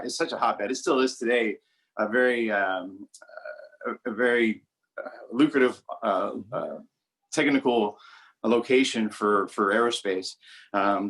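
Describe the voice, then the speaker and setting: A man's voice: medium pitch, moderate pace, a bit bright. A man in his forties from Southern California, talking casually as an interview guest and often repeating words as he searches for what to say. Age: 40s. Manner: rambling, repeats words while searching for phrasing.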